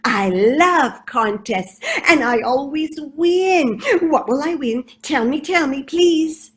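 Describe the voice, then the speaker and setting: A woman voicing a boastful male rat who taunts a slow beetle. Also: high-pitched, animated, pitched above the performer's own voice. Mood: gloating. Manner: taunting, bragging. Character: boastful speedy male rat, a mocking bully.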